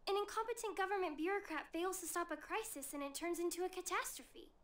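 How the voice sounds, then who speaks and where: An actress playing a young, informal, familiar friend in an English-dubbed anime-style video game. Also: female voice, very high voice, quick pace, a bit bright. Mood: awkward, hesitant. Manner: perky, informal, stammers when flustered. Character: chirpy, familiar young female friend, awkwardly well-meaning.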